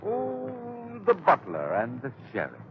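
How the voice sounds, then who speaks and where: An actor playing an agitated, skeptical cousin who is the victim in a 1930s radio melodrama, on a noisy, muffled old recording. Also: male voice, medium pitch, wildly swooping pitch, a little slow. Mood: scornful, doubting.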